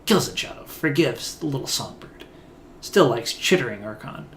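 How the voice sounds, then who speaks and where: A man's voice: medium pitch, lively intonation, moderate pace, a bit bright, slight background noise, pitched below the performer's own voice. A man reading the lines of a feral, blunt female beast woman guard who speaks in clipped phrases about herself in the third person. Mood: scornful.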